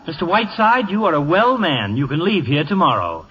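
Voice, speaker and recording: High-voiced, fawning, bumbling doctor. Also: male voice, sing-song, brisk. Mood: pleased, sheepish. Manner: chuckling, deferential, earnest. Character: eager, bumbling, fawning small-town male doctor. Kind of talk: 1950 radio comedy acting. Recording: noisy, reverberant, muffled vintage radio broadcast.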